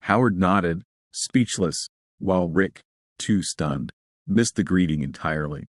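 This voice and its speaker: A man's voice: deep voice, measured pace, no room sound, studio quality. A man reading scripted dramatic narration of a science fiction horror story.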